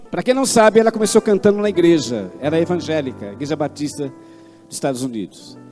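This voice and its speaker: Preacher man, 60s, pretty high voice, goes big then drops low, big reverby hall. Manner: fervent, swings from loud declamation to low intimate asides. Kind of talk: sermon. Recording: long hall reverb, faint instrument music behind.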